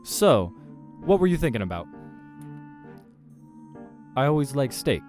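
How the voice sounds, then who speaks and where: A man's voice: medium pitch, sing-song, unhurried, a little mellow, soft background music, pitched above the performer's own voice. Man playing a genial, mild-mannered human date. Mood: affable. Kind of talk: acted visual novel dialogue.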